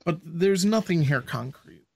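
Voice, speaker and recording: Higher-voiced opinionated male podcaster. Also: animated, unhurried, vocal fry, breathy edge, no echo, studio quality. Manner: frank, opinionated, rambling. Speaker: podcast co-host. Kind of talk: casual chat.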